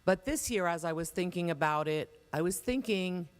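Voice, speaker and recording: A man's voice: high-pitched, animated, clear, resonant tone. A man giving a formal, courteous welcome speech as director of an organization at a memorial program. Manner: measured, courteous. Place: large hall. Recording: long hall reverb.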